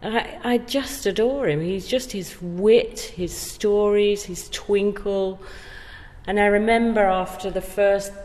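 A woman's voice: mid-range voice, darkish tone. A middle-aged woman chatting casually as an interview guest, effusive and emphatic in the way she talks. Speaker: guest actor being interviewed. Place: indoor stairwell. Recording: very echoey stairwell.

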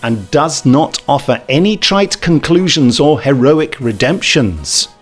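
A man's voice: on the high side, animated, a little slow, faint music behind. A man hosting a podcast, chatting casually and reading reviews aloud with a jokey manner. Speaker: podcast host.

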